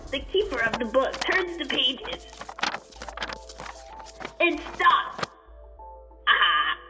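A woman's voice: sits high, rough recording. A woman playing an ominous storyteller, narrating an old-time horror radio show in a theatrical, portentous way.

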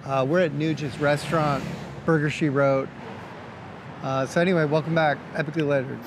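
A man hosting a skate documentary show, introducing the episode in a relaxed, rambling way. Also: a little high, moderate pace, noisy background. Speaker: show host. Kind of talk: casual spoken show intro. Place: restaurant.

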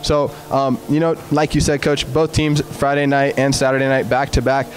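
A man's voice: mid-range voice, little pitch movement, moderate pace, music in the background. A young man interviewing a coach after a game in an eager, upbeat way with frequent fillers. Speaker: sports interviewer. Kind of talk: postgame interview.

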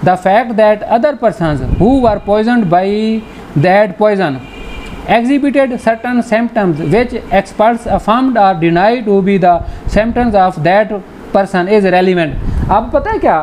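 A man's voice: sits high, a little mellow, low-quality recording. Casting: male Pakistani law lecturer, conversational explainer, bilingual delivery, faint background noise. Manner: conversational, switches between Urdu and slowly read English. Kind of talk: informal lecture, explaining legal articles with examples.

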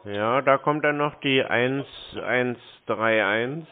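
A man's voice: mid-range voice, takes it slowly. A man in a casual phone conversation, playing a customer who sounds hesitant, naive and questioning. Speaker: prank caller posing as a customer. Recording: tinny band-limited phone line.

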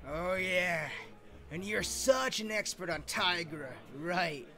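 A man's voice: high-pitched, slow, crowd noise. A man playing a boastful, thin-skinned supervillain who needles others in a comic dub. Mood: defensive, sarcastic. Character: brash, thin-skinned male supervillain showing off.

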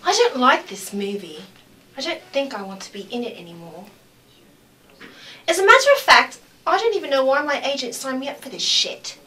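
Bright-voiced haughty actress. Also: female voice, high voice, very animated, normal speed, full character voice. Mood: petulant.

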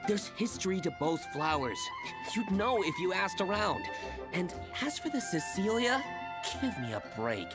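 A man voicing an opinionated young fruit seller in a game, pompous, excitable and declamatory. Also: high voice, normal speed, loud music behind the voice. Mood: indignant.

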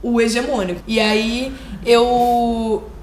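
A young woman talks conversationally as an interview host, often affirming her guest. Her fairly high voice carries a roomy echo over faint background hiss. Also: flat delivery, slow, darkish tone. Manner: conversational, frequent affirming backchannels. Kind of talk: casual interview chat. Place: sunny living room, seated on a sofa.

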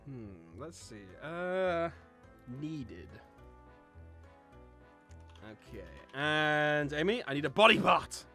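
Male game host, jokey and casual, higher-pitched with a bright, forceful edge. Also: takes it slowly, long pauses, faint music behind. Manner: animated, jokey. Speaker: host running a word game. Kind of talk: casual chat with friends in a group gaming session.

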